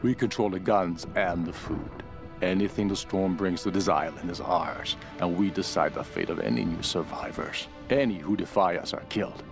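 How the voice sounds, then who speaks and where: Deep-voiced, hardened cult henchman. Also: moderate pace, vocal fry. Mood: grim, menacing. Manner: grim, hard-edged, world-weary. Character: hardened, cynical male cult henchman, ruthless survivor. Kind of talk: dramatic journal narration for a video game. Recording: music behind the voice.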